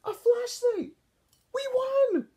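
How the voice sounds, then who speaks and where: A man voicing an excited young animal camper from a storybook, exclaiming in a shrill squeal. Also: takes it slowly, heavily acted. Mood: triumphant.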